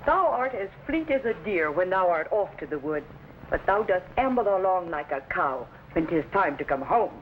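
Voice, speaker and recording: Strict pioneer mom, old-fashioned thee n thou, lively up n down, muffled old recording. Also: female voice, on the low side, moderate pace. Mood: scolding, cautioning. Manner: scolding, archaic thee-and-thou phrasing. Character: stern, protective Quaker-speaking frontier mother. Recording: muffled, faintly noisy vintage recording.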